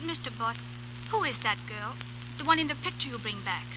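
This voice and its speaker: A woman's voice: fairly high pitch, expressive, a little fast, lightly acted. A woman with a foreign accent and broken English playing a devoted young girl in a 1930s radio drama. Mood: pleading. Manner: earnest, pleading, broken English. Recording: muffled hissy 1930s radio broadcast.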